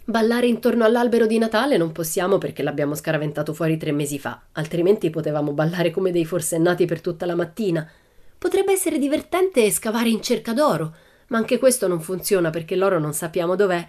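A woman's voice: fairly low pitch, lively intonation, brisk, darkish tone, close to an everyday voice. A woman voicing a bold, cheerful little red-haired girl who tells breezy tall tales in a storybook reading.

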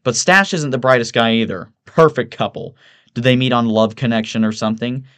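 Man acting a loud, smug yuppie guy. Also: mid-range voice, fairly quick, clean tone, close to an everyday voice. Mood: scornful. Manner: sneering, exclaiming, sarcastic. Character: smug, lewd, loudmouthed drunk young yuppie man. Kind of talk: audiobook character voice.

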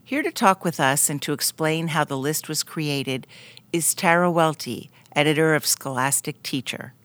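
A woman's voice: low voice, normal speed, a bit bright, no echo. A woman hosting a podcast, giving scripted introductions and asking interview questions. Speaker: podcast host.

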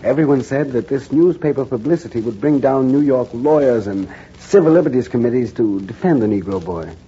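An actor playing a reporter who narrates his own ordeal in measured, grave first person, in a 1940s radio drama. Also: mid-range voice, expressive, warm tone, slightly rough. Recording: dull 1940s radio broadcast recording with music.

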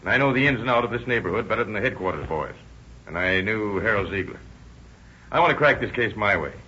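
An actor playing a determined motor cop in an American 1930s radio crime drama. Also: male voice, lowish voice, animated, fast, bright, forceful tone. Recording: noisy, dull old radio recording.

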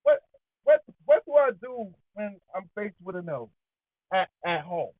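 A man's voice: high voice, very animated, measured pace, no echo. A man co-hosting a casual talk show, arguing his points in an insistent, slangy, conversational way. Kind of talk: casual debating banter. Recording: tinny, phone-like band-limited audio.

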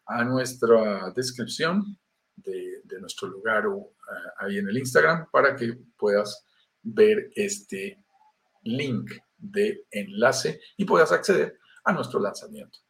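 A man's voice: on the low side, animated, slow pace, creaky, a bit bright, poor audio. A middle-aged man with a Colombian accent, presenting a live online talk on property investment, explaining step by step to the viewer with frequent "eh" fillers. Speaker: real estate investment presenter.

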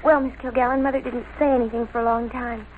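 High-voiced nervous teenage girl in radio drama, acted by a woman. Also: flat delivery, fast, narrow, tinny audio, slight background noise, close to an everyday voice. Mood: nervous, confessing. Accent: American. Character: nervous lovestruck teenage girl confessing to a reporter. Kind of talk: 1940s radio drama acting. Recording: old radio broadcast with music behind.

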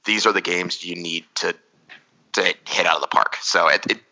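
Male podcast host, very low pitch and sharp tone, chatty soccer breakdown. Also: moderate pace, creaky, poor audio. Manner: rambling, analytical, many restarts. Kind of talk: casual soccer analysis chat.

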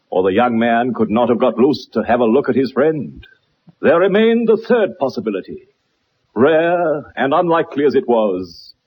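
A brilliant gentleman detective in a radio play. He lectures in formal, methodical phrases with a very lively, swooping delivery and a slightly husky edge. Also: medium pitch, poor audio. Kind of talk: radio drama acting.

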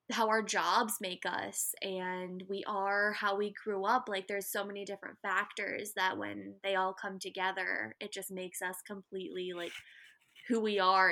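A young woman from the Midwest with a higher voice, co-hosting a podcast and musing at length in casual chat. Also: bright, forceful tone. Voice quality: higher-pitched voice. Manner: rambling, thoughtful, quick to laugh. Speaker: podcast co-host.

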